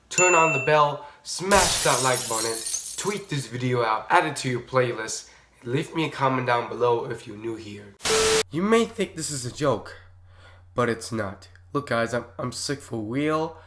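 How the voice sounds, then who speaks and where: A young man hosting a casual reaction vlog while sick with a cough and stuffy nose, given to loud, excitable outbursts. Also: medium pitch, heavy background noise. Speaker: YouTube host reacting to videos.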